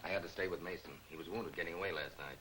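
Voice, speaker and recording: A man's voice: deep voice, racing, close to an everyday voice. An actor playing a terse gangster henchman in a 1940s movie serial, clipped and matter-of-fact. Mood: guarded. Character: terse gangster henchman reporting to his boss, man. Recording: hissy, noisy 1940s film soundtrack.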